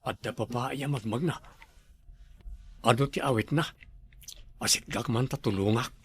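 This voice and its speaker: A man's voice: mid-range voice, normal speed, a bit bright, slight background noise. A young man with an Ilocano accent, acting in a comedy drama, teasing and quizzical.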